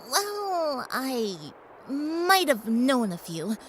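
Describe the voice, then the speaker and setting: A woman's voice: high voice, big pitch swings, extremely slow, fairly bright tone, a little hiss or hum, full character voice. A woman playing a young female unicorn pony in an audio drama, curious, polite and careful, speaking in a musing, tentative way. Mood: careful, uneasy.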